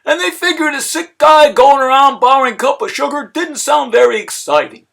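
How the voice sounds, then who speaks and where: A man's voice: very high voice, gravelly, a bit bright, full character voice. A man voicing an aggrieved, folksy wolf who pleads his innocence in a theatrical, self-justifying storybook read-aloud.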